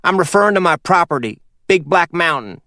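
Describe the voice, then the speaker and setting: A man's voice: fairly high pitch, expressive, a little fast, pushed and bright, no room sound, clean studio sound, pitched above the performer's own voice. A man voicing a shrewd, bossy older uncle from who orders people around. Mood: commanding. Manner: commanding, scolding.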